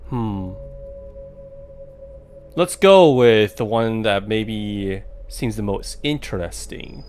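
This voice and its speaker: A man's voice: fairly low pitch, expressive, takes it slowly, darkish tone, faint music behind. A man streaming a horror game at home into a desk mic, reacting aloud with dry, joking asides. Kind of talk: casual let's play commentary.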